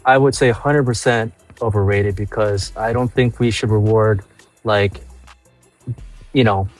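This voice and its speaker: A man's voice: fairly low pitch, steady tone, measured pace, faint music behind. A man from New York, a nightlife industry guest on a podcast, talking casually and often repeating and restarting his phrases as he thinks.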